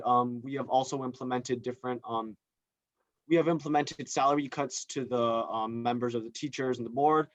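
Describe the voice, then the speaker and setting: A man's voice: medium pitch, no echo. A young man in a student competition answering a judge over a video call, hesitant and full of filler sounds. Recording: dull, dry video call audio.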